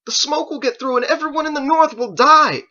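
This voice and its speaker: A man's voice: very high voice, fairly quick, bright, forceful tone, lo-fi sound, voice pushed up, heavily acted. A man voicing an anxious, indignant male squire who protests and pleads with his master in an audiobook story. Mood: frantic, indignant. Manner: exclaiming, incredulous, protesting.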